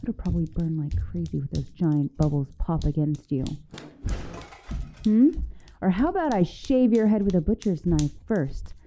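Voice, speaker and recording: A woman's voice: fairly low pitch, animated, normal speed, dark tone, slight background noise, close to an everyday voice. A woman voicing a vengeful, teasing young giantess in an ASMR roleplay monologue, mocking and menacing in a playful, theatrical way. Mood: gloating, threatening. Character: gloating, teasing vengeful young giantess taunting shrunken captive.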